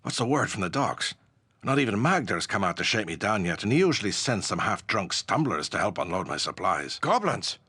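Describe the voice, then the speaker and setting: An actor playing a gruff, wry but good-hearted ship's captain in a fantasy video game, with salty, colloquial seafaring speech. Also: male voice, lowish voice, very animated, brisk, bright, forceful tone, no echo, exaggerated voice. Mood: curious, then alarmed, pleading.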